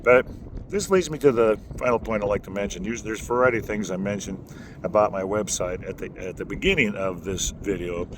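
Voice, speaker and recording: A man with a low voice teaching singing in a folksy, rambling way, with many fillers and restarts. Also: a little fast. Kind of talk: casual instructional talk. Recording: noisy background.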